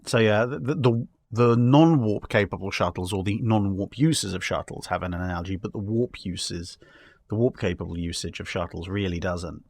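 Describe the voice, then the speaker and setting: Guy with a lowish voice just talking it through, wry, kinda rambly, casual. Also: normal speed, vocal fry, a little mellow. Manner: discursive, wry, builds comic hypothetical examples. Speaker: guest answering history and starship questions. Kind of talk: casual discussion.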